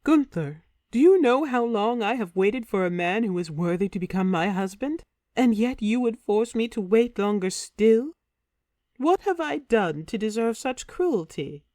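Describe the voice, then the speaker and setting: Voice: mid-range voice, animated, a little slow, darkish tone, no echo, studio quality, pitched above the performer's own voice, close to an everyday voice. A narrator voicing a passionate ancient warrior queen, pleading and theatrical, in a storybook reading. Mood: wounded. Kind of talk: audiobook character voice.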